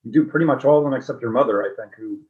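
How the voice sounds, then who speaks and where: A man's voice: medium pitch, rapid-fire, slight background noise. Man asking a question, lo-fi sound. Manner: polite, hesitant. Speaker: audience member asking a question. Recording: dull lo-fi sound.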